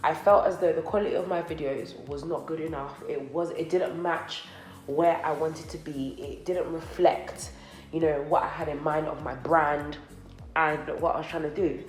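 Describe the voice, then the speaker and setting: A chatty young woman talks casually to her viewers, rambling a little as she does her makeup. Her voice is low for a woman and slightly nasal from a cold. The room sounds echoey, with faint music underneath. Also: fairly even intonation, normal speed. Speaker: beauty vlogger. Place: co-working office space, indoors.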